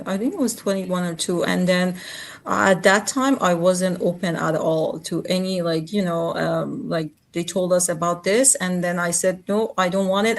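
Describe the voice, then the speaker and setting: A woman, telling her story as an interview guest, talking with many fillers and restarts. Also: mid-range voice. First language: Farsi. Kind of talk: casual interview.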